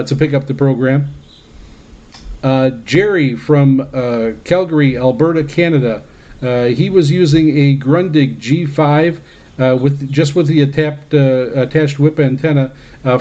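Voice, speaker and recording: A man hosting a radio program in a casual mailbag style, speaking haltingly with very frequent hesitations. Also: mid-range voice, dark tone, lo-fi sound. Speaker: radio show host.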